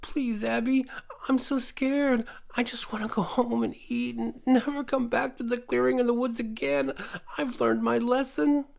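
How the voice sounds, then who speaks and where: A man voicing a small, frightened creature with jagged teeth, pleading in a high, panicky whine. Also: fairly even intonation, normal speed, creaky.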